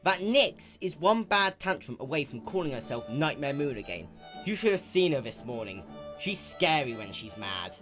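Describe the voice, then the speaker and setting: A woman's voice: low voice, big pitch swings, moderate pace, over music, voice pushed up. A woman acts out a young male dragon in a storybook-style fan reading. The character sounds glum and worried, with a hesitant, defensive, sullen way of talking. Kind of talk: dramatic fan-fiction reading.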